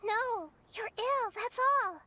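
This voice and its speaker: A woman voicing a wise, earnest child goddess in a video game, speaking in a soft, thoughtful manner. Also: extremely high pitch, takes it slowly, background noise. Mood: earnest, pleading.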